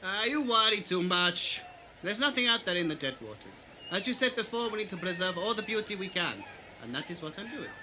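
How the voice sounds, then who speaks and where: A man's voice: high voice, very animated, fairly quick, pushed and bright, slight background noise, heavily acted, low-quality recording. A man playing a jovial, boastful baron in an audio drama, effusive and bantering, with foreign-style broken phrasing. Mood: smooth, defensive. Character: jovial, boastful male baron running a beach paradise.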